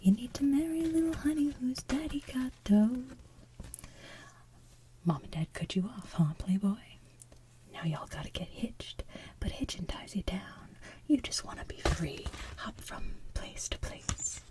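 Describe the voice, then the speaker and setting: A woman voicing a sly male voodoo con-man from an animated musical, softly half-singing in a slow, coaxing, breathy delivery. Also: a little high. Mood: coaxing, scheming. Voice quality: soft, breathy, half-whispered. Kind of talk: softly sung animated musical villain song.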